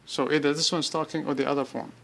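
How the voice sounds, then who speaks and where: A man giving an informal, unscripted product demonstration, speaking haltingly in short pieced-together bursts. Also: a little high, steady tone, creaky, fairly bright tone. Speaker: app demonstrator.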